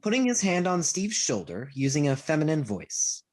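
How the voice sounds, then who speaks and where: This voice narrates stage directions for a play reading. The delivery is scripted and lively in pitch, sitting in a middle register. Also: moderate pace. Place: remote video call reading.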